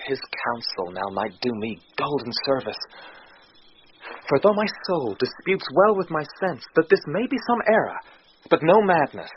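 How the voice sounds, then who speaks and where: An actor playing a bewildered, smitten young gentleman who reasons aloud with wonder in classical Shakespearean radio drama style. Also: high-pitched. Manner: wondering, articulate soliloquy. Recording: dull lo-fi radio recording, clear noise.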